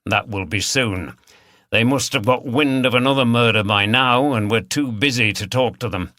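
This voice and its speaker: A man voicing an authoritative senior police detective who gives brisk, decisive orders in an audiobook. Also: mid-range voice, sharp tone, no room sound, studio quality, close to an everyday voice. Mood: brisk, knowing. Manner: decisive, commanding. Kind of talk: audiobook character dialogue.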